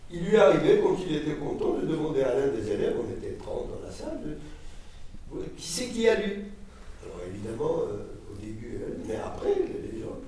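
Older man telling stories in an echoey room. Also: on the high side. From Morocco. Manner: digressive storytelling, lively gestures, frequent fillers. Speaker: guest speaker. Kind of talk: informal lecture to students. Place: bright seminar room with audience. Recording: echoey room, distant mic, faint noise.

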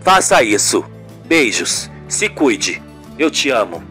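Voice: medium pitch, sing-song, slow pace, fairly bright tone, soft background music, voice pushed down. A performer voicing a tender, devout boyfriend in an amateur radio soap, reassuring in solemn, florid phrases. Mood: loving.

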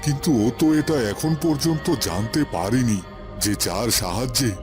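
A man playing a remorseful but hopeful holy hermit in a cartoon fairy tale, speaking solemnly in short, deliberate phrases. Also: mid-range voice, expressive, over music, lightly acted. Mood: pensive.

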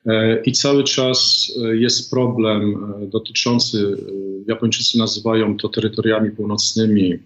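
Middle-aged guy on a video call, slow careful analysis, lots of uhs, roomy dull sound. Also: on the low side, flat delivery, fairly bright tone. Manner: deliberate, many hesitations. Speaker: guest, former ambassador. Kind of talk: measured political analysis.

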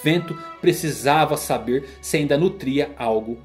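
A man's voice: mid-range voice, a little slow. Brazilian man narrating dramatically over music. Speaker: narrator of an anime and manga explainer channel.

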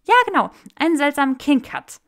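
A gossipy young male rebel who keeps blurting things out, with a voice pitched far above the reader's own, as a woman puts him on. Lively and eager, with a gravelly edge, he jumps between high excited bursts in this storybook reading. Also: brisk. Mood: eager gossiping.

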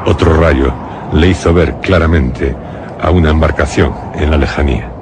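A man's voice: extremely low pitch, moderate pace, noisy background. A man from narrating a scripted radio horror and suspense drama in a solemn, dramatic storytelling manner. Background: music.

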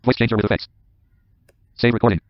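A synthetic male screen-reader voice reading out button labels in a flat way. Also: medium pitch, very slow, muffled recording.

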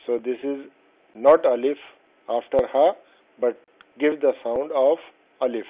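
A man's voice: medium pitch, breathy edge. Patient male language teacher, lo-fi voice-over. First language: not Arabic. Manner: slow, patient explaining with many fillers and restarts. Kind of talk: explaining a textbook lesson. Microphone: voice-over over slides. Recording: dull lo-fi voice-over.

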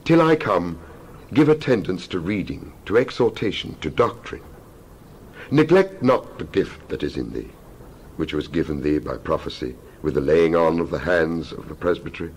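Deep-voiced man giving dramatized scripture reading. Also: big pitch swings, slight background noise. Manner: solemn, declamatory, measured phrasing. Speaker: Bible reader.

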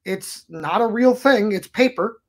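A man's voice: sits high, measured pace. A middle-aged man, a magician guest, talking in an expansive, philosophical way during a casual podcast chat over a video call. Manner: expansive, philosophical, gestures a lot.

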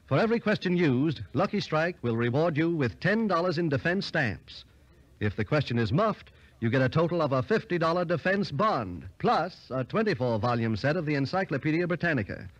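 Higher-pitched American 1940s radio announcer, male. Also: very animated, a little fast, no room sound, highs cut off. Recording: old 1940s radio broadcast.

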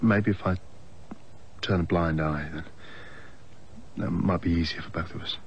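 An awkward, evasive English husband, mumbling quietly. His voice is very deep. The sound is a little dull with faint noise. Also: steady tone, unhurried. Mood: hushed.

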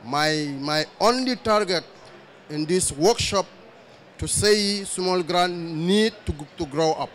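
Slow Senegalese man in broken English. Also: high-pitched, fairly bright tone. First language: French. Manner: slow, searching for words in simple English. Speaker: audience member asking a question. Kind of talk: halting spontaneous remarks. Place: large conference pavilion indoors.

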